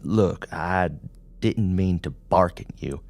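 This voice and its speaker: A man's voice: deep voice, slow pace, darkish tone. A man voicing an uneasy, defensive police officer in an audiobook, hesitant and brusque, covering dread with humor. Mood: sheepish, unsettled. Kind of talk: audiobook character dialogue.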